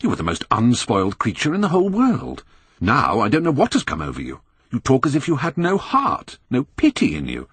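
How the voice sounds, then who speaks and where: A man's voice: medium pitch, wildly swooping pitch, a little fast, fairly bright tone, slightly rough, no echo, pitched above the performer's own voice, exaggerated voice. A man voicing an earnest painter in an audiobook, British, grave and pained as he pleads with a friend. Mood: distressed. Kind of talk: audiobook character acting.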